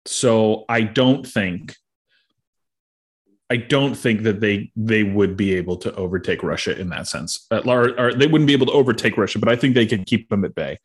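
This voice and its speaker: A man in his thirties co-hosts a loose, casual podcast. His voice sits a little low. He rambles through plenty of ums and uhs and laughs easily. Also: moderate pace, husky. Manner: rambling, laughs often, many fillers. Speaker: podcast co-host.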